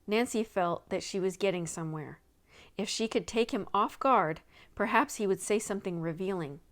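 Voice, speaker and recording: A woman's voice: mid-range voice, normal speed, a bit bright. A woman narrating a children's mystery novel as a scripted read-aloud. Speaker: audiobook reader.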